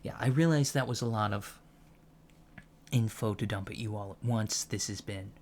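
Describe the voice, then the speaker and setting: A man playing an earnest alien college lecturer in a soft-spoken ASMR roleplay, measured and gently formal with deliberate pauses. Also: lowish voice, slight background noise, lightly acted. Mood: earnest, explanatory.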